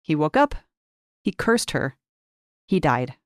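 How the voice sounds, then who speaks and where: A woman reading a short story aloud in measured, expressive narration, lifting key words for emphasis. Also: low voice, no echo, clean studio sound. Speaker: reader of short fiction.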